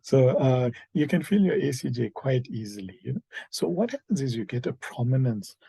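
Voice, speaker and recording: A man with a very husky, creaky voice talks through an informal radiology lecture. His delivery is hesitant and conversational, full of fillers, and the sound is dry and close. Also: medium pitch, very animated, moderate pace, dark tone, no room sound. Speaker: medical lecturer, emergency doctor.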